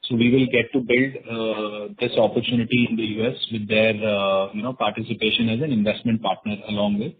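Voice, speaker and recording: A man with an Indian accent answering as a company executive on an earnings call, measured and halting, pausing often between phrases. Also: fairly low pitch, little pitch movement. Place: conference call. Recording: narrow, tinny conference phone line.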